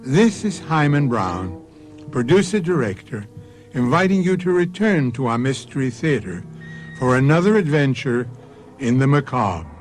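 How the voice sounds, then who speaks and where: An older man hosting a radio mystery drama, delivering a slow, deliberate, ominous scripted closing. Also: mid-range voice, very animated. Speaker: host and producer. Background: music.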